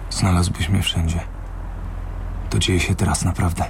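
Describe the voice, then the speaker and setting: A man's voice: sits low, little pitch movement, normal speed, vocal fry, slight background noise. An actor in a radio drama playing an anxious, drunk young man who speaks hesitantly. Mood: tense. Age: young adult. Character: anxious, drunk younger man named Antoni, rattled and defiant. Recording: music loud behind studio radio acting.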